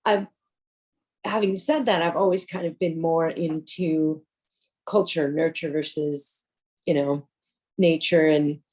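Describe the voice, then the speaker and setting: A woman's voice: low voice, steady tone, takes it slowly, pauses often, a little mellow, breathy edge. A woman co-hosting a podcast, chatty and personal, laughing easily and dropping in plenty of fillers. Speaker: podcast co-host. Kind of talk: casual podcast discussion.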